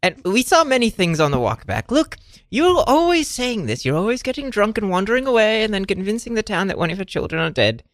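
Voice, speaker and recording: A woman's voice: mid-range voice, sing-song, a little fast, no echo, pitched above the performer's own voice, natural voice. A woman playing an exasperated, practical frontier woman, weary and scolding, in improvised comedy.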